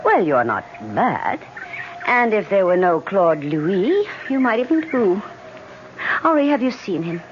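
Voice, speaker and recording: A lovesick, dismissive young heiress in old radio drama. The voice swings widely at a middle pitch, on a muffled recording with faint noise. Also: female voice, very animated, unhurried. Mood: breezy, dismissive.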